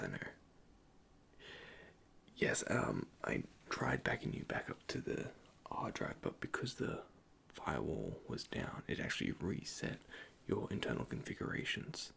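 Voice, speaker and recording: A man playing a nervous, caring android repair technician in an ASMR roleplay, speaking in a soft, breathy half-whisper with hesitant stammers. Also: rumbling low, wildly swooping pitch, measured pace, slight background noise, heavily acted. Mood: gentle explaining.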